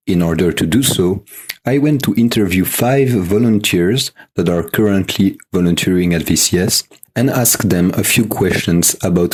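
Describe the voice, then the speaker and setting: Low deep guy doing a scripted show intro, faint music behind him. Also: breathy edge. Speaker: host.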